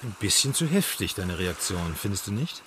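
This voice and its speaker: An actor playing a shrewd, teasing sleuth who probes and needles in a German radio crime drama. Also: male voice, on the low side, sing-song, normal speed, a bit bright, slight background noise. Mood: teasing, probing. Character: shrewd, teasing male amateur sleuth called Hank.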